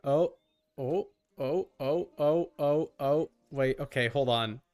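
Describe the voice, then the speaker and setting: A man's voice: medium pitch, slow, darkish tone. A man giving casual live gaming commentary as a streamer, informal, with muttered asides and mild swearing. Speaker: video game streamer.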